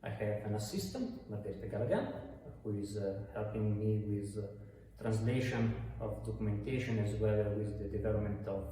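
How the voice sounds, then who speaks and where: A man's voice: fairly low pitch, a little fast, dark tone, slight background noise. A man from Italy with an Italian accent, a university dean answering interview questions with many hesitations and restarts. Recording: echoey hall, voice from far off.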